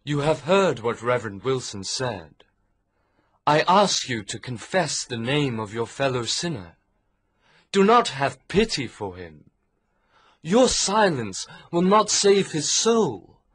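A man's voice: mid-range voice, very animated, slow pace, fairly bright tone, breathy edge, exaggerated voice. A man voicing an anguished young male minister in an audiobook, sweet, rich and broken in tone. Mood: pleading.

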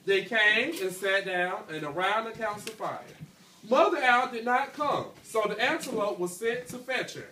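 A man's voice: high voice, lively intonation, normal speed, pushed and bright. A young man teaching an elementary class, reading a storybook aloud and checking in with pupils with frequent okays and rights. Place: school classroom, indoors. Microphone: handheld phone among the pupils, several metres away. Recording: echoey, noisy classroom, recorded from far off.

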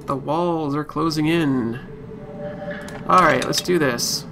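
A man with a slightly high voice streams a game at an unhurried pace. He talks to himself in short reactions, with game music clearly playing behind him. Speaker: gaming streamer. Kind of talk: let's play live stream commentary, reading game text aloud.

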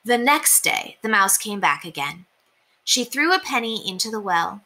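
A young woman reading a children's storybook aloud in an expressive, deliberate way. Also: mid-range voice, poor audio. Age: young adult. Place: small indoor room. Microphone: webcam at desk distance.

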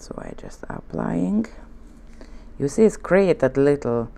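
A woman with a Hungarian accent, a native Hungarian speaker, giving a relaxed nail art tutorial at her studio desk, talking in gentle, coaxing instructions and often checking in with "okay?". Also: sits low, slow pace, creaky, slight background noise. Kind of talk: relaxed tutorial narration while working. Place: indoor nail studio desk, hands on camera from above.

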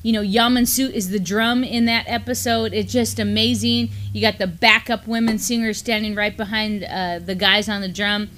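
A woman's voice: medium pitch, steady tone, normal speed, hardly any pauses, fairly bright tone. A middle-aged woman with an American accent co-hosting community news in a casual conversational style. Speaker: co-host of a community news show. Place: indoor studio, seated at a desk.

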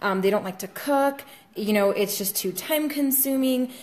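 A woman's voice: sits high, normal speed, a little mellow. Chatty young woman filming on her phone. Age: young adult. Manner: chatty, many fillers, talks to viewers as guys. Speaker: fitness coach. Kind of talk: casual vlog tutorial. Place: home kitchen, indoors. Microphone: phone camera held at arm's length.

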